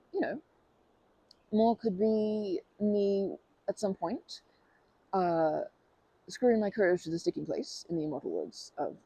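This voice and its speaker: A woman's voice: mid-range voice, gravelly, dark tone, husky, no room sound, full character voice, poor audio. A woman voicing a polite, awkwardly formal stranger in an ASMR roleplay, rambling with many fillers and self-corrections. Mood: nervously flirty.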